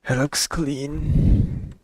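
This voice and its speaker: A man's voice: a little high, little pitch movement, very slow, a little mellow. A young man giving casual, slangy gameplay commentary in a laid-back way. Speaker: game streamer.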